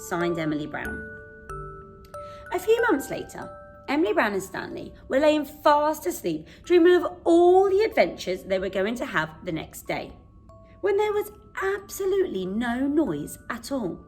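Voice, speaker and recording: A woman reads a children's bedtime story expressively, with dramatic, deliberate pauses. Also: sits high, big pitch swings, a little mellow, faint music behind.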